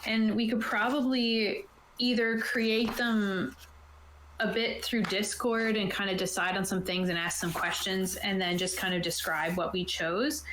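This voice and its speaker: A woman's voice: mid-range voice, little pitch movement, a little slow, a bit bright. A woman chatting casually and enthusiastically as a game master about tabletop roleplaying, thinking aloud as she goes. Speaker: game master and show host. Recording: roomy echo, faint background noise.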